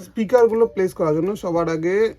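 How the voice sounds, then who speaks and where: A man's voice: sits high, fairly quick, mellow. A man with an Indian Bengali accent demonstrates an installation in a casual, hands-on tutorial style.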